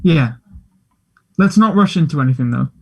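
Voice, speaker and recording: A man playing a guarded but gentle, softly teasing young man in a play table read, answering briefly and with reserve. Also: a little high, lively intonation, normal speed, husky. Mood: warm, teasing.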